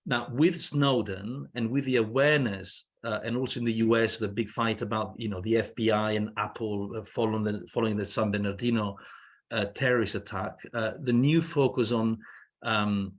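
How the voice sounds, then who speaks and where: A man's voice: on the low side, fairly even intonation, moderate pace. A man in his fifties with a Swiss accent, not a native English speaker, speaking as a guest lawyer on a video call, hesitant with many fillers and restarts. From Switzerland. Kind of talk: panel discussion on a video call. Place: indoor room with wooden ceiling. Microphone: laptop webcam on a video call.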